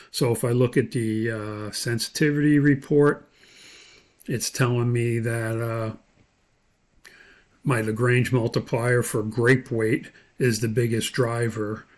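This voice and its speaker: A man with a creaky, gravelly edge to his voice teaches in an unscripted screen tutorial. He thinks aloud as he goes, explaining each step in an instructor's manner. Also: medium pitch.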